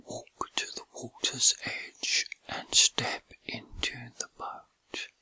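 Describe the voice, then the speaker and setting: Very slow, breathy whispering man. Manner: soothing, unhurried, gentle pauses. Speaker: ASMR host and meditation guide. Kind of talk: whispered ASMR guided meditation.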